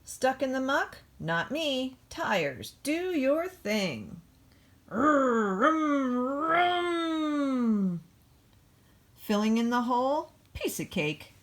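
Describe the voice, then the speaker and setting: A woman voicing an eager, boastful backhoe loader in an expressive, playful picture-book read-aloud. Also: high-pitched, very slow, voice pushed up, full character voice. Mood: cheerful, boastful. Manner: expressive, playful, emphatic storybook reading. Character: eager, boastful cartoon backhoe loader who loves dirty work.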